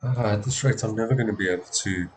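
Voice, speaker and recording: A man's voice: fairly low pitch, quick pace, a little hiss or hum. A man streaming a video game, commenting casually to himself, often repeating short phrases and swearing mildly. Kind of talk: casual live gameplay commentary, talking to himself. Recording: game music behind the voice. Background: video game music.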